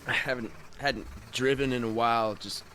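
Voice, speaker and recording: A man acting in a radio play as a gruff but kind injured bull rider, talking in a halting, folksy way. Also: mid-range voice, fairly even intonation, sharp tone, slight background noise, close to an everyday voice. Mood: gentle, awkward.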